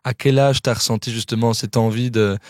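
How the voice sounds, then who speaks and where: A man's voice: medium pitch, steady tone, fairly quick, a little mellow, dry recording, studio quality. A man hosting a podcast, asking long, casual questions with fillers. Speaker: podcast host.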